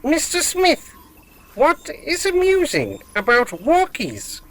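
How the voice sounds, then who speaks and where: A woman's voice: sits high, very animated, slow, exaggerated voice. A woman voicing a loyal, literal-minded robot dog in an audio drama, formal and precise. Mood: puzzled.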